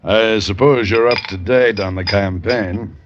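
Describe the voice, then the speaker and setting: A man's voice: lowish voice, unhurried, close to an everyday voice. An actor playing a stubborn, scheming newspaperman running for office in a 1950s radio drama. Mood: smooth, guarded. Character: stubborn, scheming male newspaper boss and candidate. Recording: dull, hissy old radio recording.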